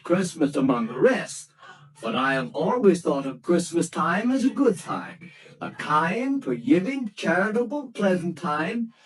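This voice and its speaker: A cheerful young nephew in a vintage radio play. His fairly high voice moves in lively lines, earnest and good-natured even when he pleads. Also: measured pace, low-quality recording. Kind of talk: 1940s radio acting.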